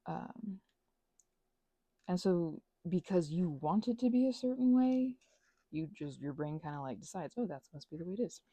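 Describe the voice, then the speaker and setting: A woman rambling casually as she thinks aloud over a craft, halting and murmuring to herself, with playful asides to listeners. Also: fairly low pitch, expressive, warm tone, husky, no room sound. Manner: halting, drifts into murmurs and self-talk. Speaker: ASMR and audio creator.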